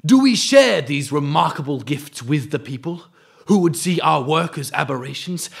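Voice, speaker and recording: A man's voice: fairly high pitch, gravelly. A man playing a zealous, commanding mantis-man cult leader in an audio drama, oratorical and imperious.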